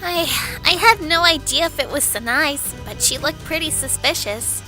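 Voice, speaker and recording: A woman's voice: high-pitched, normal speed, pushed and bright, soft background music, poor audio. A woman voicing a haughty, sneering teenage schoolgirl bully in an anime-style audio story. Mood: uneasy.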